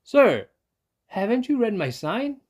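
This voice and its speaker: A man's voice: high voice, big pitch swings, slow, darkish tone, voice pushed up, exaggerated voice. A man voicing a brave, wary male mouse dentist, firm and emphatic, in a storybook read-aloud. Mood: shouting, alarmed.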